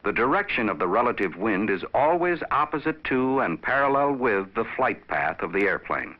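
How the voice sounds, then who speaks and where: A man reading scripted instructional narration for a training film in a measured, explanatory, didactic manner. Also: on the low side, sing-song. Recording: dull vintage training film soundtrack.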